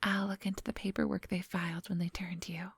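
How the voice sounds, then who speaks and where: A woman playing a centuries-old vampire boss in an ASMR roleplay, whispery and breathy, speaking close to the microphone in a silky, patronizing, quietly menacing manner. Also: fairly low pitch, level tone, no room sound. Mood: guarded, smooth. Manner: slow, unhurried, silky and patronizing.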